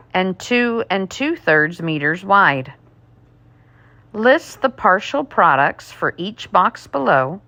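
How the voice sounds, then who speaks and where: A woman with a mid-range voice reads test questions aloud like a teacher. Her pace is extremely slow and deliberate, with each item set out separately. The sound is dry, with little room around it. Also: mid-range voice, fairly bright tone, no room sound.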